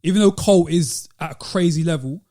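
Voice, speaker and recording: Mellow-voiced London man, podcast co-host. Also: on the high side, a little fast. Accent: British, London. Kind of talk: casual panel discussion of hip-hop.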